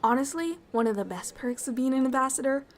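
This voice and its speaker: A woman voicing a bubbly, friendly alien ambassador in an ASMR roleplay, chatty, excitable and quick to apologise. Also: sits high.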